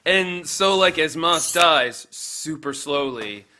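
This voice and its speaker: An actor playing a chatty, naive young grunt in an audio drama, rambling and excitable, tripping over his words. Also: a little high, slow, slight background noise, exaggerated voice. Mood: eager, earnest. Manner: rambling, excitable, repeats himself.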